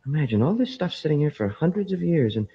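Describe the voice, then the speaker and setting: A man's voice: a little high, very animated, fast, husky, heavily acted. An actor playing an eager, respectful young male cadet in a 1950s science fiction radio serial. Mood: eager, awed. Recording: muffled old radio recording.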